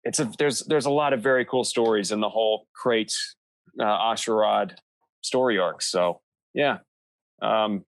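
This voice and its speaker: A man's voice: on the low side, a little slow, vocal fry, dry recording. A man speaking as a podcast guest in a casual panel discussion, explaining creative work in a rambling, self-interrupting way.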